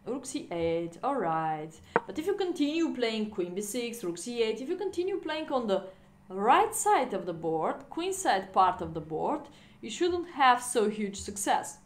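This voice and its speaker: Woman streaming chess from home, bit high voice, laughs a lot, chatty. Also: sing-song, a little slow. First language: Bulgarian. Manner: chatty, laughing, non-native phrasing. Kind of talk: casual live-stream commentary. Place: indoor room at home.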